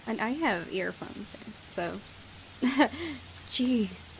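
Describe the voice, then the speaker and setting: A woman's voice: on the high side, slight background noise, low-quality recording. A woman who is not a native English speaker, hosting a let's play and reading game text aloud. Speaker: gaming video host.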